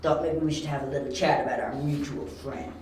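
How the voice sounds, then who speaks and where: A man's voice: fairly high pitch, flat delivery, a little fast, darkish tone, natural voice. A man playing a cocky young detective posing as a criminal, flippant and casual, in an amateur audio drama. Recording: very echoey, distant mic, faint noise.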